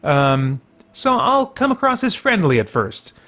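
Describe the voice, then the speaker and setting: A man's voice: sits high, sing-song, unhurried, sharp tone. A man hosting a casual let's play, thinking aloud and rambling as he goes. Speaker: gaming video host.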